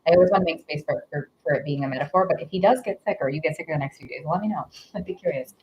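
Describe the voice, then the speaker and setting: A woman's voice: sits low, racing, a little hiss or hum. Woman, tarot reader, casual rambling chat, giggly, stuffy nose, muffled lo-fi audio. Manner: rambling, filler-heavy, giggly.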